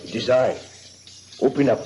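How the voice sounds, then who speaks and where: An actor playing a menacing waiter who is a hired killer, smooth and threatening. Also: male voice, medium pitch, normal speed, loud music behind the voice, close to an everyday voice. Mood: cold. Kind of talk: 1950s radio drama acting.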